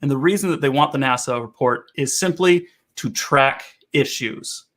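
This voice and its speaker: A man's voice: medium pitch, moderate pace, a bit bright. A man hosting a live stream, chatting casually and giving advice in an affirming, emphatic way.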